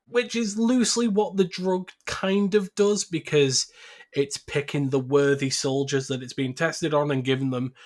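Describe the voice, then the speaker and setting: A man talking casually as a podcast host about film, opinionated and swearing freely. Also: mid-range voice.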